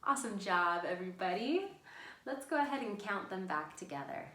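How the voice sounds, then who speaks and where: A woman's voice: medium pitch, lively intonation, a little fast, bright, forceful tone. A woman hosting an interactive storytime for young children, encouraging them and giving simple instructions. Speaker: children's library storytime host. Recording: echoey room sound.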